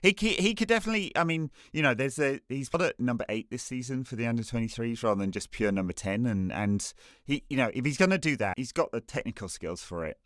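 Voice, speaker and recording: A man's voice: medium pitch, lively intonation, fast talker, few pauses, a bit bright, no room sound, clean studio sound. A man co-hosting a podcast, chatting casually about football and rambling with many fillers and restarts. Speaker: podcast co-host.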